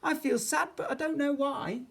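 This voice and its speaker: Small storybook boy, played by a woman, squeaky, high-pitched, shy, excitable, childlike exclamations. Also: a little slow. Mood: sad. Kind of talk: children's storybook read-aloud.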